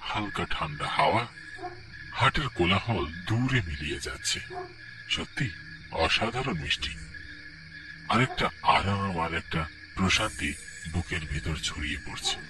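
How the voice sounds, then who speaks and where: A man narrating a spooky cartoon story in a dramatic way that drops to a hush for suspense. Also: sits low, lively intonation, unhurried, pauses often. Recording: distant mic with noisy background.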